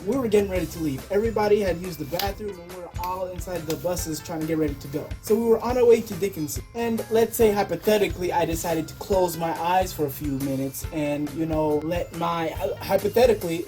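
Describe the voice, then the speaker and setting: Animated young woman storyteller, mellow voice. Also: lowish voice. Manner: animated, exaggerated, acts out her own reactions. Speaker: storytelling video creator. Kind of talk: casual comedic personal storytelling.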